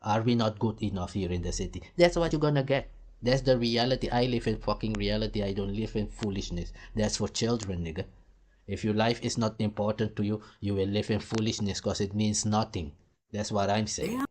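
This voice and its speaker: A man's voice: fairly low pitch, a little fast, a little mellow. Blunt, profane man ranting. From Suriname. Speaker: commentary host. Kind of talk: ranting reaction commentary.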